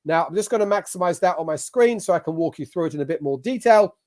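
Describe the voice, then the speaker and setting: A man's voice: a little high, animated, fast, darkish tone. A man with an English accent giving an online masterclass as a business coach, talking in an emphatic, motivational way. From England. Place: at a computer sharing his screen.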